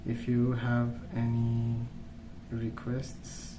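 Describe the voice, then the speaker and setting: A man doing soft-spoken ASMR, murmuring in an unhurried, musing way as he rambles to himself while colouring. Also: medium pitch, monotone, reverberant, noisy background. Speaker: ASMR video creator and host.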